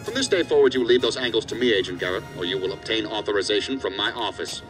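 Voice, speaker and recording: An actor playing an angry, stern assistant director in an audio drama, scolding and swearing. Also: male voice, on the low side, animated, fast talker, fairly bright tone, soft background music. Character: angry, hard-bitten male assistant director of investigative agency.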